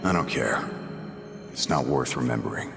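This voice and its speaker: Grim brooding game warrior, super deep fry voice, terse, big music under it. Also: male voice, lively intonation, normal speed, heavily acted. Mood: cold, dismissive. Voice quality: deep, gravelly. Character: grim, brooding warrior obsessed with destroying chaos. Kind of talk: dramatic video game acting. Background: loud game music.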